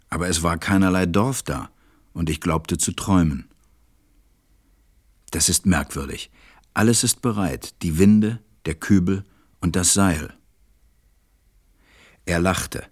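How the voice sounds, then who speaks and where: Gentle pensive pilot telling his story in a radio play, really low voice, takes his time. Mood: wondering. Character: reflective adult male pilot, tender storyteller. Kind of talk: slow radio play narration.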